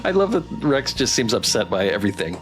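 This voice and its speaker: A man's voice: medium pitch, big pitch swings, fast. A man streaming a game, chatting casually with his viewers. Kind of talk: casual game livestream chat. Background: music.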